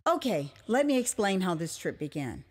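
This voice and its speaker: An American woman narrating a dramatized memoir as its author, conversational and expressive, with dramatic pauses. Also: on the low side, a little hiss or hum. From United States (Houston, Texas). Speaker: author and storyteller.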